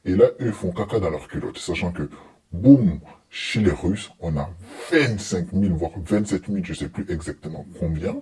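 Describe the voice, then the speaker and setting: A man's voice: deep voice, normal speed, mellow. A man giving informal, opinionated reaction commentary in a blunt, exasperated and dismissive colloquial way.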